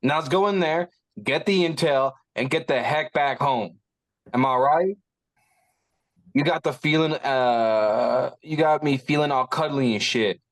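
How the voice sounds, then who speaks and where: A man's voice: mid-range voice, measured pace, no room sound, close to an everyday voice. A man reading the part of a cocky, goofy stoner gunman who rambles and jokes his way through excuses. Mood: playful. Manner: rambling, joking, breaks into chuckles. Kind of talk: casual table read of a script.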